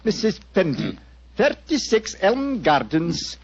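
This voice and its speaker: An actor playing an obliging, deliberate male physician with a husky voice in a 1940s radio drama. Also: high-pitched, big pitch swings, no room sound, full character voice. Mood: obliging, musing. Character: obliging, deliberate physician in his consulting room. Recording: muffled 1940s radio recording.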